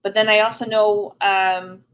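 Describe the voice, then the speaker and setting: A woman's voice: medium pitch, level tone, unhurried, clean tone, muffled recording. A middle-aged woman from Canada, speaking earnestly and frankly as a guest in an interview. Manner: earnest, frank and plain-spoken. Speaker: podcast guest. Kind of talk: candid conversational interview.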